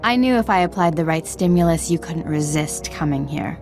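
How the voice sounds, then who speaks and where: Mocking, low-voiced dark Jedi villainess. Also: female voice, expressive, normal speed, faint music behind. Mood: gloating. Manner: mocking, seductive. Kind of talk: dramatic video game dialogue.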